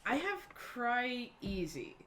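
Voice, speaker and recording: A woman's voice: fairly high pitch, sing-song, takes it slowly. A woman chatting informally and slangily as a player in a tabletop roleplaying game. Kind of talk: casual chat at a game table. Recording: loud crowd chatter behind voice.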